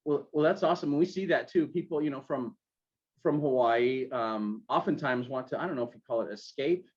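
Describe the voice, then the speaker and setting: A man's voice: mid-range voice, a little mellow. Man host on a dull lo-fi line. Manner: halting, many restarts and fillers. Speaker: podcast host. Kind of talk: casual interview. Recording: dull lo-fi sound.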